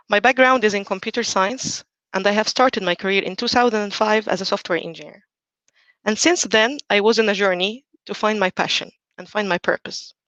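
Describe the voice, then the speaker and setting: A woman in her forties from Egypt, giving a measured, earnest prepared talk as a team coach. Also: mid-range voice, a bit bright, no echo, dull audio. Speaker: team coach, conference speaker.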